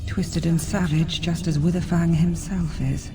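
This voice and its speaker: A woman's voice: on the low side, fairly even intonation, over music, lightly acted. A woman voicing a serene, commanding forest spirit. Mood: sorrowful. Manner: measured, formal, soothing. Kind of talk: fantasy video game acting.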